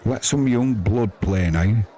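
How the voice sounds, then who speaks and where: A man with an English accent and a deep voice hosts a live cricket stream. He talks casually and off the cuff, in a matey, rambling way. A faint crowd sits behind his voice. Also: takes it slowly, warm tone. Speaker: live sports stream commentator and host. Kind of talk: casual ad-libbed live cricket commentary and chat.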